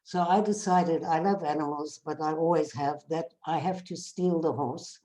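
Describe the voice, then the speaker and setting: Older South African woman, low mellow voice, chatty storyteller on a video call. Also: normal speed. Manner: conversational, repeats phrases while telling anecdotes. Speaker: guest speaker. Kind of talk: storytelling talk to a class.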